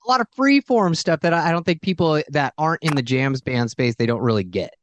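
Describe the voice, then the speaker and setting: A woman's voice: low voice, expressive, a little fast, slight background noise. An American woman co-hosting a casual interview, chatty and enthusiastic. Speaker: interviewer, co-host.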